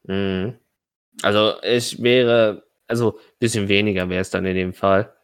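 A man's voice: fairly low pitch, fairly even intonation, measured pace, smooth, no room sound. A man running a tabletop role-playing game as game master, narrating off the cuff and thinking aloud.

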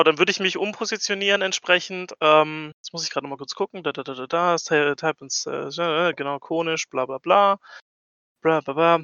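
A man's voice: a little high, sharp tone, clear, resonant tone, no room sound, highs cut off. A man playing a tabletop role-playing game, chatting casually and playfully and dropping in English phrases.

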